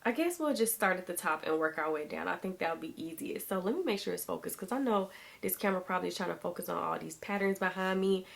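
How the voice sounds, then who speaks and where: A woman's voice: fairly low pitch, quick pace, creaky. Woman, 30s, vlogger, chatty, talks to viewers, slightly sore throat. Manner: chatty, addresses viewers as y'all. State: recovering from illness, sore throat. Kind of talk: casual chat to camera.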